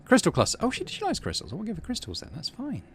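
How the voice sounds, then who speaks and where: A man's voice: high voice, huge pitch swings, quick pace, a little hiss or hum. A man doing casual game commentary, thinking aloud and reading game text out as the host of a gaming channel.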